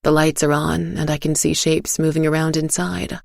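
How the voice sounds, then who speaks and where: A woman narrating a dark fairy tale in the first person, quiet and tired, with music under her voice. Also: low voice, little pitch movement, normal speed, vocal fry, dry recording, studio quality.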